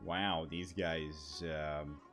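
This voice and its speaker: A man's voice: very deep voice, steady tone, extremely slow, fairly bright tone. A man giving casual, rambling gameplay commentary as a streamer, repeating words and swearing casually. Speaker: gaming streamer. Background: game music.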